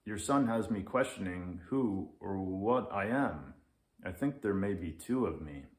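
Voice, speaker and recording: A man's voice: low-pitched, expressive, a little slow. A man voicing a grumpy, regretful older lynx in a children's storybook. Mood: confused, annoyed. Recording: echoey room.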